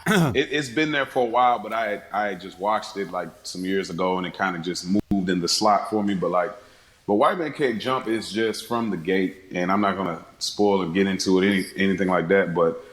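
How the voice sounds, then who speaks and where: Low-voiced man in an echoey room. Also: fairly quick. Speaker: podcast guest, fellow podcast host. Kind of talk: casual chat. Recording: roomy, echoing sound.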